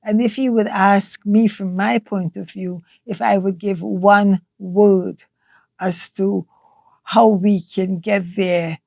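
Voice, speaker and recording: Older trinidadian woman, slow and careful, dry close sound. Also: mid-range voice, fairly even intonation, creaky, a little mellow, dry recording. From Trinidad and Tobago. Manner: measured, with frequent restarts. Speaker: guest, nutrition scientist. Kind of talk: podcast interview.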